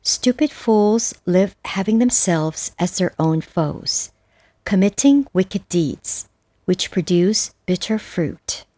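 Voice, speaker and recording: A woman narrates scripted spiritual texts at a very unhurried pace. Her voice is fairly low and mellow, with a solemn, measured delivery. The sound is dry and close, free of room reflections. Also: animated, no room sound. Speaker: audiobook narrator. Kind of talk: scripted narration of Buddhist verses and stories.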